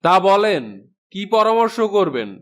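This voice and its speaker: A man's voice: sits high, very animated, measured pace. A man playing an affable, confident village schoolmaster in a folk-tale cartoon, speaking reassuringly.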